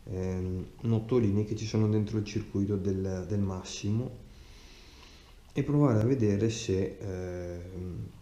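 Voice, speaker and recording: A man's voice: lowish voice, slow pace, dark tone. A man chatting casually about his motorcycle, hesitant and full of fillers, recorded hands-free in a cluttered garage. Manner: rambling, hesitant, many fillers and restarts. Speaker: motorcycle vlogger. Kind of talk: casual unboxing vlog talk. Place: cluttered garage at night, indoors. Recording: dull roomy garage recording with faint hiss.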